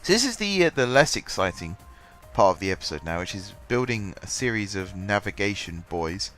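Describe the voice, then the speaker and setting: A man's voice: lowish voice, sing-song, normal speed, fairly bright tone. Congested man with a cold. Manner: rambling, many ums and restarts. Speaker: gaming channel host. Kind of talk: casual gameplay commentary. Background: faint background music.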